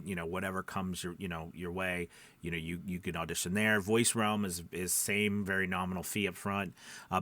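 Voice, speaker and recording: A man's voice: low-pitched, a bit bright, dry recording. A man giving casual, instructional advice as a voice-over coach, conversational and often checking in with the listener. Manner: conversational, frequent check-in tags like right and okay. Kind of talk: casual instructional chat to camera.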